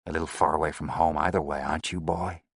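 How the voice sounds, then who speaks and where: A man's voice: very deep voice, steady tone, quick pace, husky, dry recording, studio quality, heavily acted. A man voicing a menacing, sneering American gangster with a New York accent in an audiobook. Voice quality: sneering, contemptuous.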